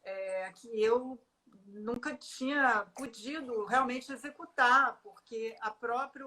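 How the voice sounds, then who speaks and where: A middle-aged woman, an artist in a conversational interview, talking with many pauses, fillers and restarts. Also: on the high side, pushed and bright, rough recording.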